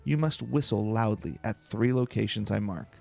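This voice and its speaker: A man hosting a calm video game let's play, reading story dialogue aloud in a relaxed, unhurried way. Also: lowish voice, vocal fry.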